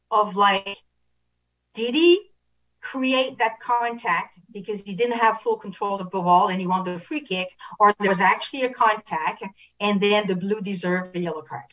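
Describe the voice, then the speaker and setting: A woman's voice: mid-range voice, fairly bright tone, recorded from a distance, poor audio. A middle-aged woman instructing referees over a video call, analysing clips with repeated phrases and rhetorical questions. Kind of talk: video analysis coaching at an online clinic.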